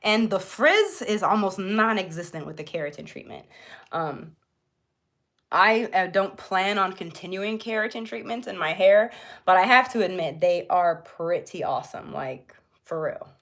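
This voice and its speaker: A woman speaks at an average female pitch. She is hosting a live beauty tutorial in a casual style. Her delivery is informal and chatty, addressed directly to the people watching. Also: animated, a little slow, fairly bright tone. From born in Jamaica, grew up in Florida, US. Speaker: beauty livestream host.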